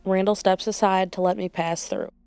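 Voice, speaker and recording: A woman's voice: mid-range voice, steady tone, moderate pace, gravelly, darkish tone, smooth, faint music behind, lightly acted. A woman giving scripted first-person narration in an amateur fairytale parody. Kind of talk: scripted storybook narration and character dialogue.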